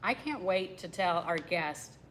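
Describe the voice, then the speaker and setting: A woman's voice: fairly low pitch, animated, measured pace, a bit bright, a little hiss or hum. A woman from the United States hosting a talk show, asking questions with eager, frequent "wow" reactions. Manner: enthusiastic, reacts often with "wow". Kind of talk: interview.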